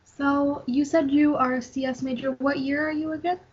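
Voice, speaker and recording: A woman's voice: high-pitched, flat delivery, normal speed, darkish tone, dull audio, a little hiss or hum. A young woman conducting a casual interview in an informal, affirming way.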